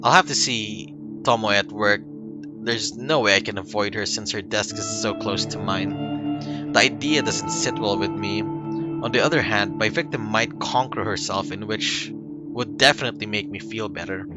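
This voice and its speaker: Low-voiced man reading over loud music. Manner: calm, unhurried reading with small slips and restarts. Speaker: streamer reading a game aloud. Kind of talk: first-person game narration read aloud.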